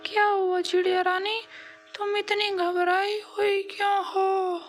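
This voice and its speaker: A man voicing an anxious, fretful female mother-to-be bird in a cartoon story, pleading, with an artificially pitched-up voice. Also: flat delivery, takes it slowly, fairly bright tone, slight background noise, full character voice. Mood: concerned.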